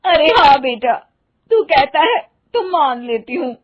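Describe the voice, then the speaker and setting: A woman's voice: high-pitched, big pitch swings, takes it slowly, dull audio, pitched above the performer's own voice, exaggerated voice. A woman voicing a scheming, quarrelsome middle-aged village stepmother in a theatrical, scolding cartoon style. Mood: sugary, coaxing. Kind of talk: Hindi cartoon story voice acting.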